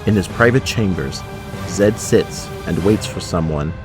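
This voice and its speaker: A man's voice: low-pitched, a little slow, music in the background. A man narrating a scripted audio drama, setting each scene in the present tense.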